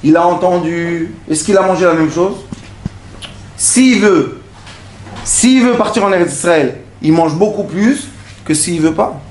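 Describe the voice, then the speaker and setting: A man's voice: high voice, unhurried, a little mellow, slight background noise. A man teaching a Torah lesson as a rabbi, telling little stories with acted-out dialogue and lots of rhetorical questions. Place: lecture hall. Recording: echoey room.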